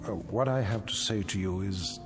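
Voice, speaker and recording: An actor playing an older museum owner in an audio drama, authoritative and businesslike yet apologetic, with music underneath. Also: male voice, on the low side, normal speed, lightly acted. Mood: reluctant, regretful.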